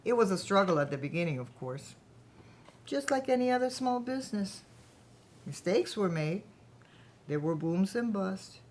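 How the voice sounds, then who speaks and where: A woman's voice: medium pitch, animated, moderate pace, pauses often, darkish tone, a little hiss or hum, close to an everyday voice. A woman acting in a staged reading as a chatty, self-assured small-company boss, polished and businesslike. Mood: proud, businesslike. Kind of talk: staged reading of a workplace satire.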